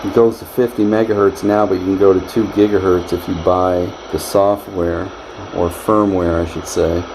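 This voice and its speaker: A man's voice: sits low, flat delivery, moderate pace. A man casually narrating his hands-on repairs in a wry, joking way. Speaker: host, DIY repair vlogger. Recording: clear background noise.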